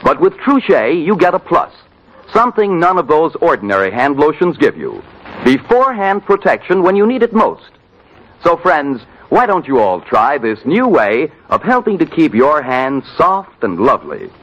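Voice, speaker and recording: A man's voice: a little high, very animated, moderate pace, a bit bright, dull audio. A man acting as a friendly, polished, emphatic announcer in a 1940s radio commercial. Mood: persuasive. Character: friendly, persuasive male commercial pitchman.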